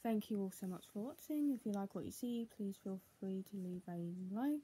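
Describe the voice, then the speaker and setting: A young woman vlogger with a husky, muffled voice, speaking at home into a tablet. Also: mid-range voice, expressive, normal speed, warm tone, a little hiss or hum. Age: young adult. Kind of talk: casual home vlog, partly reading aloud. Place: small room at home, indoors. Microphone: tablet built-in mic at arm's length.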